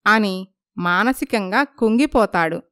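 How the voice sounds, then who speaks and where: A woman's voice: mid-range voice, lively intonation, slow pace, a bit bright, clean tone, dry recording, clean studio sound. A woman narrating a scripted moral story as its storyteller.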